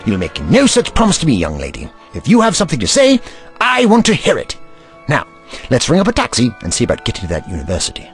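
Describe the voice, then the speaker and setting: An actor playing an eccentric, kindly and curious investigator in an audio drama, pensive and courtly in manner. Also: male voice, medium pitch, huge pitch swings, fast talker, soft background music. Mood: firm, gentle.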